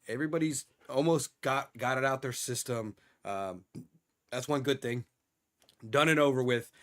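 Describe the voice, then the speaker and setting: Man, hoarse, livestream host, casual rambling commentary, desk mic. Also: mid-range voice. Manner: casual, rambling, filler-heavy. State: hoarse from being sick. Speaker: host of a security news livestream. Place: home studio, lives in Singapore.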